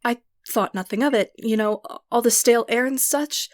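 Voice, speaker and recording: A woman playing an anxious, protective wife and mother in an audio drama, halting and emotional. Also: a little high, natural voice. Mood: worried.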